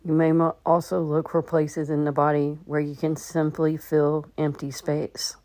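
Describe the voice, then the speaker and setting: A woman with a low voice guides a meditation in a very even tone. She gives gentle instructions and leaves long pauses between phrases. The sound is dry and close, with very little room around it. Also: no echo. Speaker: meditation guide and podcast host.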